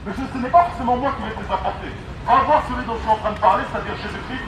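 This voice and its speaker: A high-voiced man evangelizes in the open air through a megaphone, with street noise clearly behind him. He exhorts emphatically, hammering key phrases by repeating them. It is a street sermon, amplified over the sounds of the city. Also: rapid-fire. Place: outdoors on an urban street.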